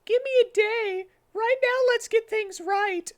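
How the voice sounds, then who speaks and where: A man voicing a frantic, tearful teenage girl cartoon hedgehog in a very high squeaky falsetto with melodramatic delivery. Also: measured pace. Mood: worked up.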